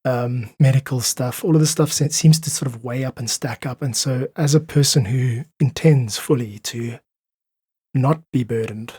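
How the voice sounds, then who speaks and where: A man speaking as a podcast guest, reflective and earnest, slightly husky, making his points with emphatic repetition. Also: mid-range voice, moderate pace, dark tone, dry recording, clean studio sound. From South Africa. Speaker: podcast guest, independent musician. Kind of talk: reflective casual conversation.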